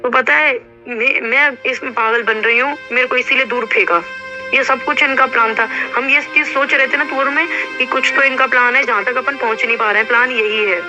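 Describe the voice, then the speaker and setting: A woman's voice: fairly high pitch, steady tone, a little fast. A young woman on a private phone call, talking insistently and with a sense of grievance, often cutting in on the other person. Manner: insistent, aggrieved, talks over the other. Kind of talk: heated private phone conversation. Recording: muffled recorded phone call, music behind.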